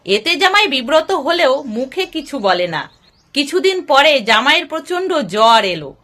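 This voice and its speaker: A woman's voice: sits high, very animated, measured pace, a bit bright. A woman narrating a scripted moral folk story.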